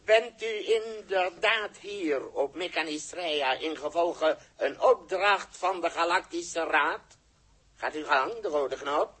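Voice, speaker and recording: A formal alien interrogator in an old science-fiction radio play. He speaks slowly and ceremoniously in a raised, forceful voice with a rough edge. His manner is stiff and official. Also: fairly high pitch, exaggerated voice. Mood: solemn. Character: formal alien interrogator running a truth test. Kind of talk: 1960s science-fiction radio drama acting. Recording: muffled-edged 1960s radio recording.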